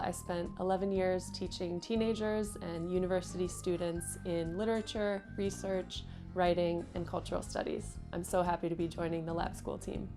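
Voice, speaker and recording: Female teacher, upbeat, low register, music bed. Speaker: secondary school English teacher. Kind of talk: recorded self-introduction.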